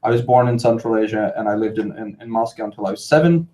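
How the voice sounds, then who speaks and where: A man from New York speaking informally on a panel, halting and digressive, often repeating his words as he goes. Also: on the low side, dark tone.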